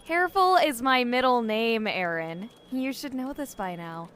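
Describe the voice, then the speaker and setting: A woman's voice: fairly high pitch, animated, unhurried, a bit bright. An actress playing a wry, hardworking young woman investigator in an audio drama, casual and dry. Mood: friendly.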